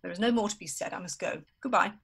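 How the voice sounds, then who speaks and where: A woman's voice: medium pitch, fast talker, creaky, fairly bright tone, husky. A woman acting a scheming, elegant Victorian adventuress in a radio play, with a haughty, theatrical manner. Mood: cool, haughty.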